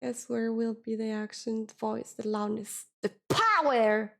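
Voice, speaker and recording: Streamer, a woman with a slightly high voice, who speaks slowly and searches for words in casual chat. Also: vocal fry, fairly bright tone. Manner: hesitant, searching for words, self-correcting.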